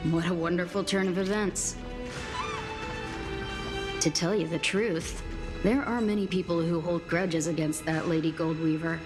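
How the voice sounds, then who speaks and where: A woman's voice: on the low side, steady tone, unhurried, pauses often, music in the background, lightly acted. A woman playing a courteous, formal messenger in a video game. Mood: pleased, wry. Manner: polite, formal. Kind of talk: game voice acting.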